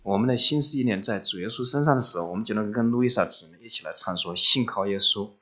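A man interpreting a sermon live, relaying each phrase quickly and steadily. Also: lowish voice, bright, forceful tone, dull audio.

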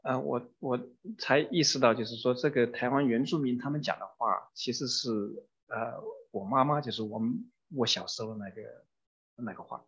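A man's voice: medium pitch, normal speed, a bit bright, slightly rough. A man interpreting a sermon phrase by phrase, keeping pace with the preacher and using some fillers. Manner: renders each phrase promptly, with some fillers. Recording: dull muffled recording.

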